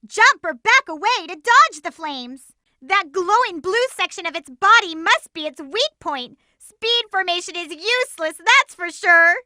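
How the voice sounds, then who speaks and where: A woman's voice: extremely high pitch, lively intonation, unhurried, pushed and bright, dry recording, studio quality, exaggerated voice. A woman voicing a plucky, bossy young female cartoon hedgehog in a video game, with a peppy, emphatic, girlish delivery. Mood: perky, instructive. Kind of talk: animated game voice lines.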